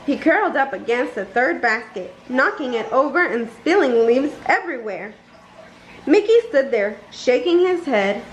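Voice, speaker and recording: A woman narrating a children's bedtime story in a deliberate read-aloud style. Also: high voice, big pitch swings. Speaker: children's book reader. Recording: roomy echo, noticeable background noise.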